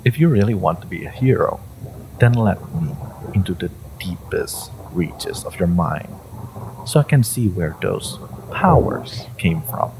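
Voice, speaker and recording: A man playing an ancient, possessive hive-mind entity in an audio drama, condescending and theatrical, with amused menace and a low, creaky voice. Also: lively intonation, a little mellow, a little hiss or hum, full character voice, rough recording. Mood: coaxing menace. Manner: theatrical, condescending, halting with drawn-out menace and chuckles. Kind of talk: scripted audio roleplay addressed to the listener.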